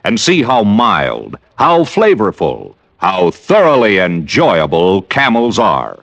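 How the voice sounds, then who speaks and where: Hugely animated old-time radio announcer man. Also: fairly low pitch, takes it slowly, gravelly, dull audio. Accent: American. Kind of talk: 1940s radio sponsor announcing. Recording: old radio broadcast, loud brass music.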